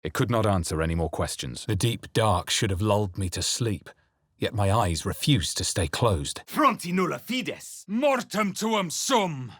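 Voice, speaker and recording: A wry male adventurer from a fantasy video game. His voice sits on the low side and has a rough, husky grain. He speaks in a measured, dramatic way, brooding and dry, in a very dead studio sound. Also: sing-song, fairly bright tone, dry recording, exaggerated voice. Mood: incanting. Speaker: player character voice in a fantasy role-playing video game. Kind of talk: video game voice lines, exploration remarks, spell chants and inward narration.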